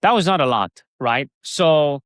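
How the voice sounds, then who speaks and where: A man's voice: a little high, animated, a little slow, fairly bright tone, no echo, clean studio sound. A man from Switzerland with a Swiss German accent, a guest in a casual interview, rambling with restarts and fillers.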